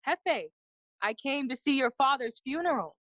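A woman's voice: sits high, animated, normal speed, fairly bright tone, no room sound. A woman playing a loyal, earnest young friend of a prince in a bilingual Shakespearean audio play, speaking formally. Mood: respectful. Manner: earnest, formal, clearly declaimed.

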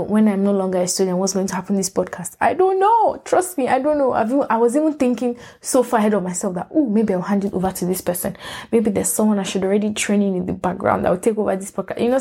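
A young woman hosts her own podcast. Her voice is mellow and soft-edged, sitting at a middle pitch for a woman. She talks in a rambling, candid way and keeps correcting herself. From Nigeria. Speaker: podcast host. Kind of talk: casual solo podcast monologue.

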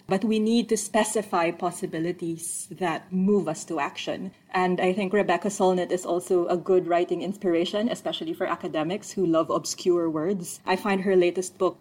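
A woman from the Philippines, talking as a guest in a podcast interview in a chatty, lively way. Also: fairly low pitch. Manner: lively, chatty, speeds up and laughs between points. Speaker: guest, political scientist.

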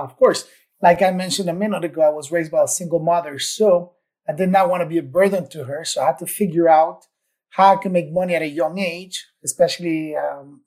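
A man's voice: a little high, a little mellow. A man from Ecuador, a podcast guest, telling his story in an upbeat, enthusiastic way. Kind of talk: casual interview chat.

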